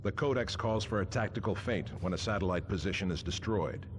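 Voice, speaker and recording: Man as a deep-voiced, stern battle commander. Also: lightly acted. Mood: measured briefing. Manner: authoritative, measured. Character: stern, disciplined space marine field commander. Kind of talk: video game voice acting. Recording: noisy battlefield ambience behind.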